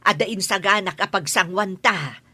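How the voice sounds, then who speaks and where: A woman's voice: mid-range voice, normal speed, pushed and bright, husky, full character voice. A woman playing a chatty, familiar provincial aunt in an audio drama. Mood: welcoming, teasing. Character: chatty, welcoming provincial aunt who keeps a store. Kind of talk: Philippine radio-style audio drama acting.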